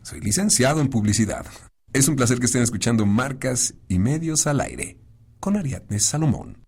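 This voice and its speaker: A man, a voice actor and commercial announcer, reading a recorded station promo in a smooth announcer style. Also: mid-range voice, animated, normal speed, darkish tone.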